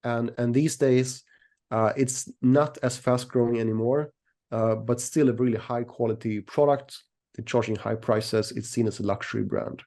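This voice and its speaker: Mellow middle-aged man from Sweden. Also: mid-range voice, steady tone, moderate pace. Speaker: interview guest, equities analyst and newsletter writer. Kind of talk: conversational interview explanation.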